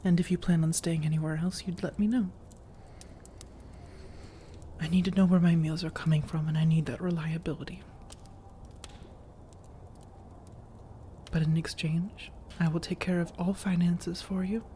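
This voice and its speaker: An elegant vampire woman, acted by a woman, speaks in a mellow, soft, breathy voice that often sinks to a whisper. Her phrasing is measured, formal and coaxing, in the manner of an ASMR roleplay. A faint noisy ambience sits behind her. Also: fairly low pitch, steady tone, natural voice. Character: elegant, persuasive, long-lived female vampire seeking a roommate.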